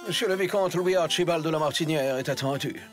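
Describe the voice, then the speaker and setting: An actor playing a pompous, insistent servant who speaks with formal deference in a French cartoon. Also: male voice, a little high, brisk, faint music behind, lightly acted. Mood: ceremonious. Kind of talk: French animated series dubbing.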